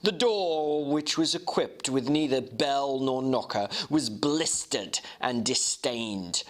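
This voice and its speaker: A man giving an expressive, theatrical dramatic reading of a classic novel. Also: medium pitch, slow pace. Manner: expressive, theatrical storytelling delivery. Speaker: reader and commentator.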